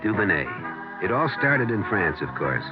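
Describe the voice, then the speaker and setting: Loud music sits under a slightly dull vintage radio spot. An American man with a fairly low voice announces the ad in a lively, swinging intonation, polished and persuasive as he sells. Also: normal speed. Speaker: commercial announcer. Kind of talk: scripted 1970s radio advertisement read. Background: loud jingle music.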